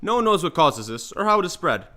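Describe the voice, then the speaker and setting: A young man anchors a mock 1950s newscast. His voice sits a little high and moves with animated inflection as he reads the script. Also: fast. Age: young adult. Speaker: news anchor.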